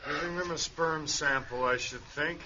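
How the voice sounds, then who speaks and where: A man's voice: on the high side, normal speed, lightly acted. An actor playing a sober, bitter male doctor in a radio play, speaking with exasperated emphasis. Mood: dry. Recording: dull lo-fi recording, faint noise.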